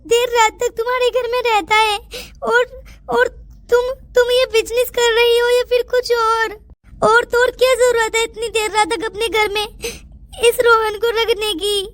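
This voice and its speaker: A woman voicing a tearful, hurt young village daughter in a cartoon, plaintive and halting, with music behind her. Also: extremely high pitch, a bit bright. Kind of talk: Hindi cartoon moral story dialogue.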